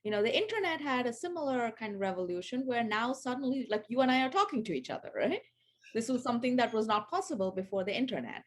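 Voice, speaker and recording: Chatty woman from Sri Lanka, higher voice. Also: lively intonation, fast, slight background noise. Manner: chatty, many fillers and restarts. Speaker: guest, AI education founder. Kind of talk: casual interview over video call.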